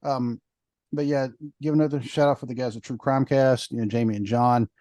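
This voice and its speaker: A man's voice: medium pitch, fairly even intonation, a little fast, darkish tone, no room sound. A man co-hosting a podcast, chatting in a rambling way with lots of fillers. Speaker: podcast co-host. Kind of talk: casual podcast chat.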